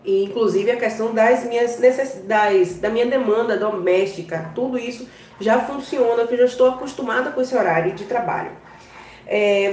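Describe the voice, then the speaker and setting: A woman with a Bahian Portuguese accent giving casual advice to her viewers, often checking in with a quick "tá?". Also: medium pitch, darkish tone, reverberant, distant mic. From Brazil. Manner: chatty, keeps adding "tá?" to check in with viewers. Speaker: online business vlogger.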